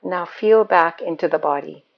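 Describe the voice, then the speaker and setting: A woman guiding a meditation as a yoga nidra teacher, speaking in a calm, soothing, unhurried manner. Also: lowish voice, gravelly, muffled recording. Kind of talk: guided meditation.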